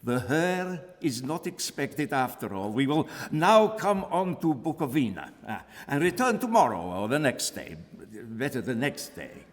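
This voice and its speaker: A man's voice: medium pitch, big pitch swings, a little slow, few pauses. Man acting grim coach driver, echoing hall. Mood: dismissive, sly. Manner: insistent, grimly jesting. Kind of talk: character voice in a live novel reading. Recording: reverberant hall at a live reading.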